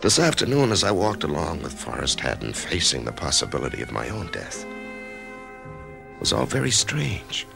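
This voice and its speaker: A brooding middle-aged American in a radio mystery, with an extremely low, crackling voice. He tells his own grim story in a measured, introspective, halting way, and his pitch moves expressively. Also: huge pitch swings, music in the background, full character voice. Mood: haunted, detached. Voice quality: gravelly. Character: brooding man facing death, narrating his own ordeal. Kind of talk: radio drama acting with first-person narration.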